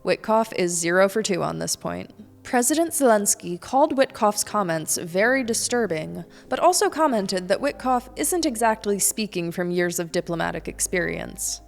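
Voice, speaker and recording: A woman's voice: mid-range voice, expressive, moderate pace, gravelly, soft background music. American woman presenting news in a reverberant space. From United States. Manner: pointed, sardonic commentary. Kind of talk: scripted news narration.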